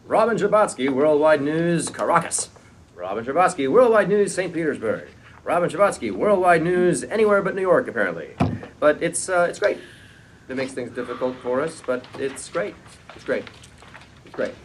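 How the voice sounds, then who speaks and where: An actor reading a vain, flashy man in his thirties with a deadpan delivery in a sitcom table read. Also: fairly high pitch, normal speed. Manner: deadpan, repeating himself. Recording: hissy table-read recording.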